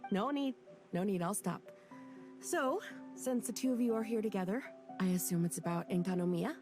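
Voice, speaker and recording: A woman's voice: mid-range voice, natural voice. A woman voicing a loyal young female military officer who briefs her leader in a game cutscene, over game music. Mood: briefing. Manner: brisk, respectful, wry. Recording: game audio with loud music.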